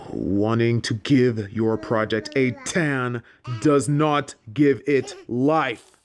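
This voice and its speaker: A man playing a formal, dryly exasperated professor at a magic school in a fantasy audio drama, speaking in a measured way. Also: medium pitch, steady tone, lightly acted. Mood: skeptical, exasperated.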